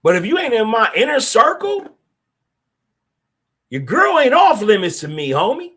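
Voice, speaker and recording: A man's voice: very high-pitched, huge pitch swings, normal speed, a bit bright, breathy edge. A middle-aged man, hosting a podcast and speaking bluntly in a streetwise, opinionated way. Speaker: podcast host. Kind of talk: casual monologue podcast.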